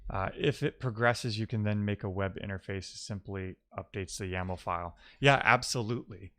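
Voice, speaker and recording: A man who streams live coding, chatting casually and musing out loud in loose fragments as he works. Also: fairly low pitch, moderate pace. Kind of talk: casual thinking-aloud coding stream chat.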